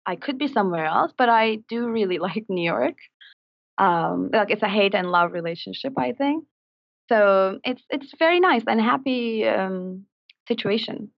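A woman's voice: medium pitch, expressive, vocal fry, no room sound. Turkish lady on a podcast, lots of likes and ums, dry dull-sounding audio. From Turkey. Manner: halting, searching for words, many likes and ums. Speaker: podcast guest. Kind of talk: casual interview chat.

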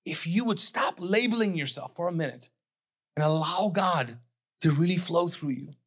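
A middle-aged man, raised in Kuwait, with English as neither his first nor second language, preaches from a church stage. His voice sits a bit on the high side. He keeps it chatty, acts out the voices of imagined people and stops to get the room to answer. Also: a bit bright, slightly rough. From Pakistani family, raised in Kuwait. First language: not English. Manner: conversational preaching, quoting imagined voices, asks the crowd to answer. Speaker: pastor. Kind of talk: sermon.

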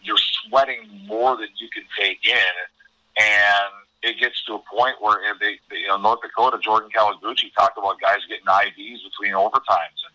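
Forceful, bright-voiced male hockey analyst. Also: lowish voice, steady tone, normal speed, no echo, poor audio. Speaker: hockey broadcaster, interview guest. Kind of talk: conversational sports analysis.

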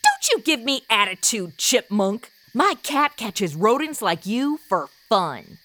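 Woman acting sassy, bright, high-pitched princess. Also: big pitch swings, takes it slowly, no echo, heavily acted. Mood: threatening, peevish. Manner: sarcastic, slangy. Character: sassy, entitled, brash young modern princess. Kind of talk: comic radio drama.

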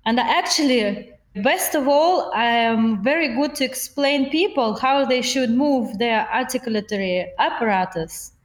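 A woman's voice: on the high side, animated, a little slow, hardly any pauses, reverberant. A woman with a Russian accent, a voice coach talking earnestly in an interview and laughing often. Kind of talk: podcast interview.

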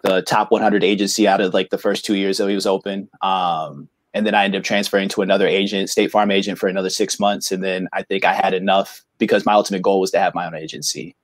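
Low-voiced easygoing man, dry sound. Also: no room sound. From Michigan, US. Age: late 30s. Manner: easygoing, rambling, many fillers. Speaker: guest, insurance agent. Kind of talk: casual interview chat.

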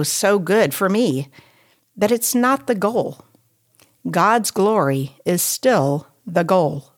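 Slow, lower-pitched woman reading a devotional. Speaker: devotional writer and reader.

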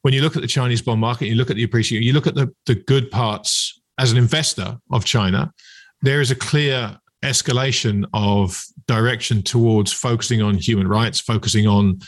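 A man's voice: medium pitch, fairly even intonation, fairly quick. A man hosting a conversational podcast interview, reflectively building up long questions.

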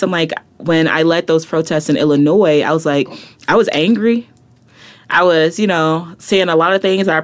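A young adult woman, a poet and activist, talking passionately as a podcast guest, with frequent "like" and "right?" asides. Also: low-pitched. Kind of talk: reflective casual interview talk.

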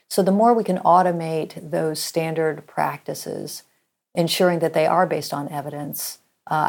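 Woman guest, healthcare executive, low and gravelly voice, earnest. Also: darkish tone. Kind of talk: conversational interview.

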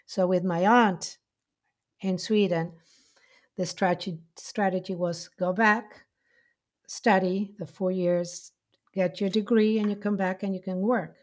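A middle-aged woman with an Argentine Spanish accent, telling her life story in a slow, heartfelt way with many pauses. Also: mid-range voice, fairly even intonation. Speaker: interviewee. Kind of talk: reflective personal storytelling.

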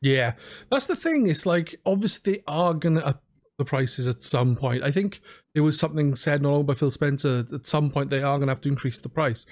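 A man's voice: fairly high pitch, lively intonation, fairly quick. A man talking casually as a guest on a podcast about games.

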